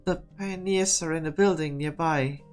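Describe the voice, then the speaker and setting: A woman's voice: sits low, normal speed, darkish tone, natural voice. A woman voicing a timid, earnest, stammering young man in a game drama fan dub. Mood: nervous, pleading. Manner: stammering, hesitant. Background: background music.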